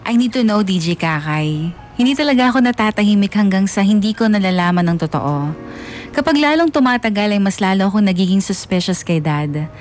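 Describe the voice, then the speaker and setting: An actor with a Filipino accent playing a bitter, wounded young son, narrating confessionally in mixed Tagalog and English for a radio drama. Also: male voice, high voice, animated, brisk, slight background noise. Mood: bitter, brooding. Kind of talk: dramatised first-person letter narration.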